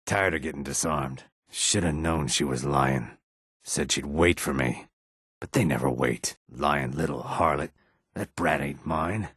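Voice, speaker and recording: A reptilian assassin from a fantasy video game, speaks from deep in his register. His voice is rough and husky, with deliberate phrasing. The sound is close and dry, with no room around it. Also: fairly bright tone, no room sound, exaggerated voice. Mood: muttering, grumbling. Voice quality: husky, rasping. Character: low-voiced male reptilian Argonian assassin and outlaw. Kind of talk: video game character dialogue.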